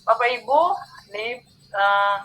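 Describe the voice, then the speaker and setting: Female online training facilitator, fifties, from North Sumatra, mid-pitched, friendly and addressing the group, video-call sound. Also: fairly even intonation, a little slow, fairly bright tone, slight background noise, low-quality recording. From North Sumatra, Indonesia. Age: 50s. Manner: addresses listeners often, checking in.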